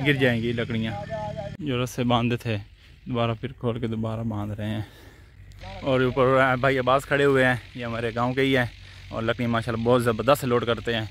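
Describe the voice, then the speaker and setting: A man vlogs from an open farm field, speaking into a phone he holds at arm's length. His voice sits at an ordinary middle pitch, and his talk is chatty and easygoing, full of pointing and small laughs. A faint outdoor hum runs underneath. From Punjab, Pakistan. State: tired, out of breath after field work. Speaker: village vlogger. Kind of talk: casual chat, talking to viewers. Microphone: phone held at arm's length.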